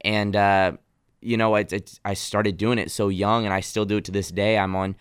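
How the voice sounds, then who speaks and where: Young guy from nashville, bit southern bit midwest accent, smooth lowish voice, dry studio sound, chatty and lively. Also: normal speed, no echo. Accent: mix of Southern and Midwestern American. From Nashville, Tennessee. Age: young adult. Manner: friendly, animated storytelling with restarts. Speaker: in-studio guest. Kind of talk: casual radio interview chat. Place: radio studio.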